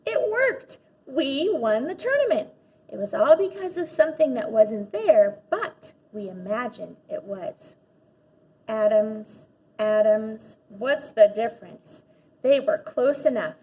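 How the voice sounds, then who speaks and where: A woman reading a children's story aloud like a teacher, expressive and animated with dramatic emphasis. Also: a little high, slightly rough. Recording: dull lo-fi audio with faint noise.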